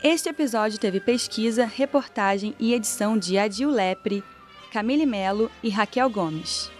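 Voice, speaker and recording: A woman from Brazil presenting a narrative podcast, reading her script in a measured, clear way. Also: medium pitch, faint music behind.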